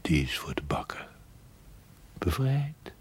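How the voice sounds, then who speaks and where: An actor playing a sardonic, teasing inner voice in a television drama, mocking and knowing. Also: male voice, sits low, very animated, slow, slightly rough, exaggerated voice. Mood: hushed, taunting. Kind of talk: Dutch television drama acting.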